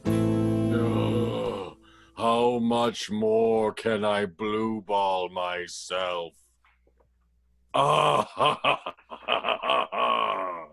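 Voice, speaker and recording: Frustrated, lovelorn male accountant for comic radio soap, acted by a man: heavy fry, very slow delivery. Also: on the low side, fairly bright tone, soft background music, exaggerated voice. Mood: self-pitying. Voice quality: gravelly, creaky.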